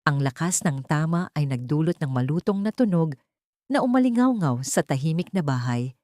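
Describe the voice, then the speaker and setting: Female narrator for dramatic fiction audio story, lower register, very dry recording. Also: lively intonation, normal speed, a little mellow, no echo.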